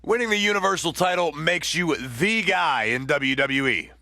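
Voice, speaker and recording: A man's voice: a little high, lively intonation, normal speed, pushed and bright, no room sound. A man playing a skeptical, needling commentator in a wrestling video game broadcast. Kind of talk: sports commentary.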